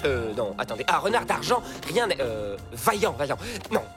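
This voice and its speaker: An actor plays an eager male fox who leads his cartoon friends. The voice swings up and down with theatrical, self-important flair. Loud music plays behind. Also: medium pitch, moderate pace, lightly acted. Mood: excited, pompous. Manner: excitable, grandiloquent, theatrical. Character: eager, boastful male fox, excitable leader of animal friends. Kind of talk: French cartoon dialogue.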